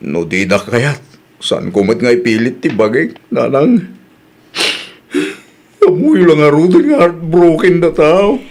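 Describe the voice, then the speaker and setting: Stubborn Ilocano son, hard-drinking, lovelorn, radio comedy drama. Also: male voice, fairly high pitch, lively intonation, unhurried, pauses often, a little mellow. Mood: sullen, defensive. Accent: Filipino, Ilocano.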